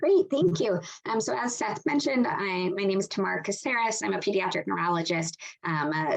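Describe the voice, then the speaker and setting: A woman moderating a webinar over a video call. Her voice is low and full of vocal fry, and she introduces speakers in a measured way, with frequent fillers. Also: rough recording.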